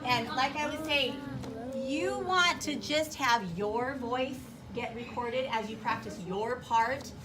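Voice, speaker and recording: A woman's voice: a little high, takes it slowly. A woman school teacher giving simple, directive step-by-step instructions to a class. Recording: noisy classroom full of children's chatter. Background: children talking and reading aloud.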